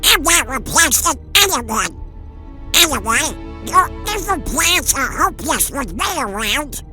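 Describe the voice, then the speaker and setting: A woman plays an irritable, self-pitying duck superhero in a comic dub. The voice is high and swings widely in pitch, with prominent music behind it. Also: sing-song, unhurried, heavily acted. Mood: defiant. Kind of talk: comic dub character acting. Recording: voice under loud music.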